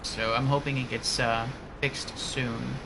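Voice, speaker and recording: A man's voice: medium pitch, a little slow, creaky, noisy background. A man casually commenting on his gameplay from his attic room and chatting with viewers. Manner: relaxed, chatty, reads out chat messages. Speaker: streamer playing a game.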